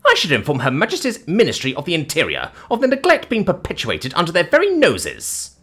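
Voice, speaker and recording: An indignant, snobbish noblewoman from an audiobook, acted by a man, scolds in pompous, ornate phrases. The voice is pitched up and swoops dramatically, with a slightly husky grain. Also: huge pitch swings, brisk, a bit bright, exaggerated voice. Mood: threatening, stern. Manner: pompous, admonishing, ornate speech.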